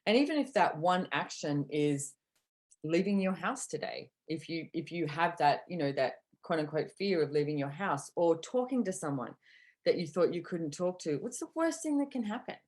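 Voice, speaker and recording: A woman with a notably low-pitched voice speaks to a live audience in an earnest, conversational way. She drifts into asides and comes back to her repeated catchphrases. She sounds middle-aged and settled in the host's seat. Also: expressive, normal speed, darkish tone. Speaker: podcast host and workshop facilitator. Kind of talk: motivational talk to a live audience.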